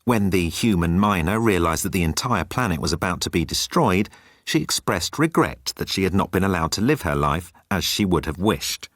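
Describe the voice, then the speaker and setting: A man's voice: low voice, animated, moderate pace, fairly bright tone, no room sound, clean studio sound. A man voicing a haughty, literal-minded electronic bird in an audiobook, with clipped, formal, pedantic delivery. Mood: coolly condescending.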